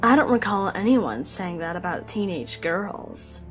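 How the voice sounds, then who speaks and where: A woman playing a strict, sharp-tongued echidna mother in a comic dub. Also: mid-range voice, animated, a little slow, soft background music, close to an everyday voice. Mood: resentful.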